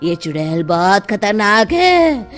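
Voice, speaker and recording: A woman's voice: medium pitch, big pitch swings, over music. A woman voicing a solemn, ominous male tantric holy man in a cartoon story, with grave, chant-like delivery. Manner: slow, chanting, grave pronouncements.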